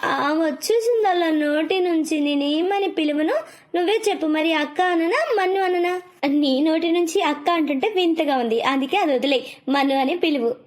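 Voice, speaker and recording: A high, childlike little girl acted by a woman chatters away in a cartoon moral story. She is playful and teasing, and pleads like a small child who wants to keep playing. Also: normal speed, lightly acted. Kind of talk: animated moral story dubbing.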